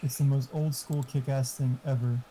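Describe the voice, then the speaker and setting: A man's voice: medium pitch, level tone, normal speed, dark tone, slight background noise, rough recording. A man chatting casually and giving tech advice in a blunt, explanatory, sweary way. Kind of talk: casual chat. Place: online voice chat.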